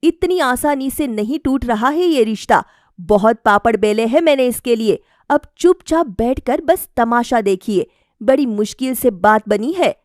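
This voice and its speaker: A woman acts out a scheming middle-aged mother from a cartoon moral tale. The voice is high and emphatic, full of drama, with a very dry sound. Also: expressive, measured pace, a little mellow, dry recording. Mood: smug. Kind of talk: Hindi cartoon moral story acting.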